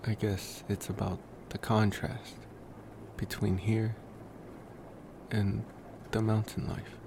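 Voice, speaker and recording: Slow, gravelly young male vampire played by a man. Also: lowish voice. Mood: musing. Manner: musing, rambling, with pauses and sighs. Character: young male college vampire, reflective, gentle, rambling. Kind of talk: ASMR roleplay, intimate monologue to the listener. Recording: noticeable steady background noise.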